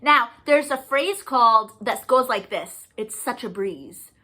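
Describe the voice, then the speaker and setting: A woman's voice: on the high side, animated, unhurried, bright, forceful tone, rough recording. A woman leading a children's storytime, speaking expressively and encouragingly in simple, sing-song phrases for young listeners. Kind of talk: animated children's storytime, reading, explaining and singing to young children.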